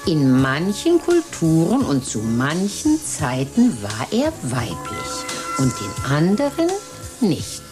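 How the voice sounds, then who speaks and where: A woman's voice: low-pitched, wildly swooping pitch, slow pace, heavily acted. An actress voicing a worldly, cynical mother figure who talks in a dry, wry drawl with whispered asides, over music. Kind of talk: radio play acting and first-person narration. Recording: music behind the voice.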